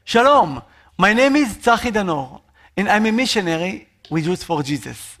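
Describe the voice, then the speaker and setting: A man from Israel with an Israeli accent, a missionary preaching a sermon in an earnest, expressive way. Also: high-pitched, moderate pace.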